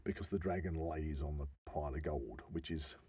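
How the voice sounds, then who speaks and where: A man's voice: a bass voice, fairly quick, warm tone, slightly rough, dry recording. A man explaining things enthusiastically in a casual podcast chat, often wandering into asides as he tells the story. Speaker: podcast host.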